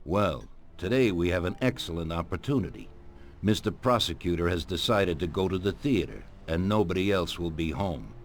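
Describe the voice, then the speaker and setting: Deep, commanding mafia boss. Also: male voice, animated. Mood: calculating, grave. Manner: measured, commanding. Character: authoritative, calculating older mafia boss giving orders. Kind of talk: dramatic video game dialogue.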